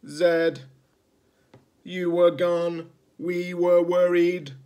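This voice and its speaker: A man voicing an old rusty robot from a storybook, worried and caring, with an emphatic, drawn-out delivery. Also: high-pitched, level tone, dark tone, pitched above the performer's own voice.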